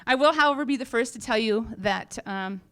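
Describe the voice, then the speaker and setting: A woman's voice: on the high side, fairly quick, bright, forceful tone, clear, resonant tone. A woman company founder giving an informal update on stage, speaking in a frank, humorous, chatty way. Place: on stage at a live event.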